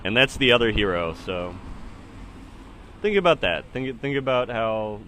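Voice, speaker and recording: A man's voice: medium pitch, expressive, measured pace, bright, forceful tone, a little hiss or hum. A man in his thirties giving casual, rambling spoken commentary in a hesitant, musing way that often trails off. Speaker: solo podcast host.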